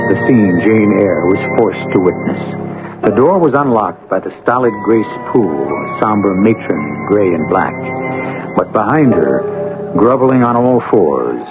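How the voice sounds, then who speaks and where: A man hosting and narrating a gothic radio drama in a gravelly, ominous, deliberate, theatrical manner. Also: on the low side. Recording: narrow, tinny old radio broadcast. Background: loud dramatic music.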